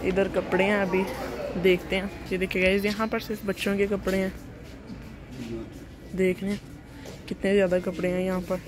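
A man's voice: high voice, flat delivery, normal speed, pauses often, music in the background. A young man narrating a casual walking tour, chatting to his viewers as he films on a phone while walking from a parking lot into a shopping mall. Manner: chatty, repeatedly addressing viewers as "guys". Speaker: vlogger. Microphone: phone camera held while walking. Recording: noisy outdoor phone recording. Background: traffic and street noise outdoors.

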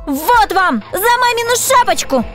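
A tiny, very high voice of a stubborn little piglet girl in a toy cartoon. She is excitable and whiny and takes her words slowly, with children's music playing along. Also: exaggerated voice. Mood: indignant. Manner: whiny, exclaiming, oinking.

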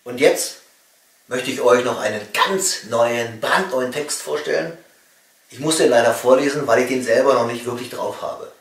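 A middle-aged man from East Germany reading a satirical comedy monologue with wry emphasis and pointed pauses. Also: medium pitch, a little hiss or hum. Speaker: cabaret comedian and author. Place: small home study, indoors. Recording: roomy echo, distant microphone.

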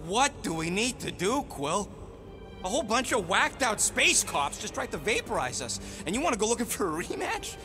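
High-pitched, irritable little raccoon mercenary. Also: male voice, very animated, normal speed, music in the background. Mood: angry. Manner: gruff, griping, combative, slangy. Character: small, irritable, sarcastic male raccoon mercenary.

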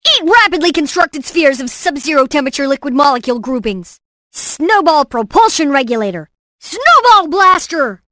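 A woman voicing a brainy, cocky, excitable boy inventor in cartoon game clips, with a theatrical, punchy delivery. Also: sits high, normal speed, dry recording, clean studio sound. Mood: playful, smug. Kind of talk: animated game voice clips, short exclamations and catchphrases.

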